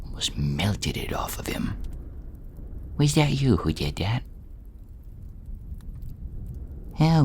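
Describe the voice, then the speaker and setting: Meek dungeon servant, very low hushed voice, raspy, rambling, dryly self-effacing, audio role-play. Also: male voice, full character voice. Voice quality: hushed, whispery, throat-burnt rasp. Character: meek, chatty dungeon servant and poison taster, male.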